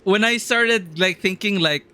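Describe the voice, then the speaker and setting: A man's voice: high-pitched, unhurried, bright, forceful tone, clean tone. A man casually chatting while streaming a video game, laughing often and sometimes putting on mocking villain voices. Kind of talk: casual live-stream commentary.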